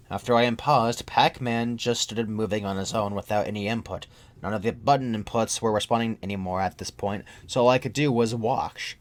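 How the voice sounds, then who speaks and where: A young adult man reading a scripted first-person creepypasta as its narrator. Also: lowish voice. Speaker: horror story narrator.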